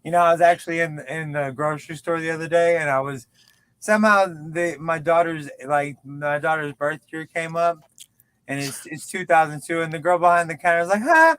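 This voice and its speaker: A man's voice: on the high side, brisk, fairly bright tone, clean tone, dry recording. A man in his late thirties chatting as a guest, joking and self-deprecating, stumbling over words. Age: 30s. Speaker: podcast guest. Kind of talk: casual chat.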